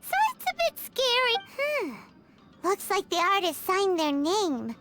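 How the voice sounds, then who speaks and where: A woman voicing a tiny, childlike, excitable video game companion who is easily scared and full of questions. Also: unhurried, heavily acted. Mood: curious, uneasy. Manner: squeaky, refers to self in third person, questioning. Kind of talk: animated video game voice acting. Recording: game audio under music.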